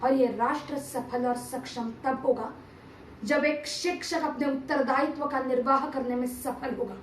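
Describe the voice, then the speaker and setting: A woman's voice: high-pitched, fairly even intonation, normal speed. A woman performing a theatrical monologue as a stern, patriotic male teacher-statesman of ancient India, solemn and declamatory in formal diction. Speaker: amateur performer reciting a TV serial monologue. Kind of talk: theatrical dramatic monologue, oratory. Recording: roomy, distant mic, faint background noise.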